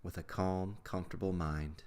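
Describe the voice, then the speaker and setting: Male meditation guide, low register, measured scripted delivery, deliberate pauses between phrases. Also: steady tone, darkish tone, clear, resonant tone.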